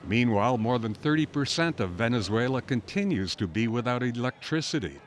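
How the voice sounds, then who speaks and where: Male correspondent, mid-pitched, scripted news narration with faint outdoor ambience underneath. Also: lively intonation, a little fast, a little hiss or hum. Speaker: news reporter.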